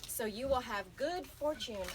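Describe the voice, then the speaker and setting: A woman's voice: a little high, unhurried, background noise, lightly acted. A woman voicing a bold, clever young girl in a storybook. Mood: bold, urging.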